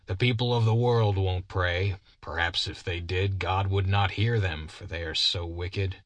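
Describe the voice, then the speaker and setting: Man reading haggard simple-minded preacher, earnest and pleading, very deep voice, slow, dry sound. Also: steady tone, a bit bright, dry recording. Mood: soft pleading. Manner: earnest, pleading, biblical cadence.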